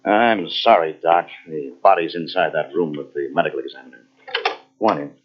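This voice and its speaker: An actor playing a firm, steady police lieutenant in an old-style radio mystery, with a plain, authoritative delivery. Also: male voice, rumbling low, brisk, faint music behind. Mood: calm, firm. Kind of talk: 1940s radio mystery acting. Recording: dull lo-fi old radio tape.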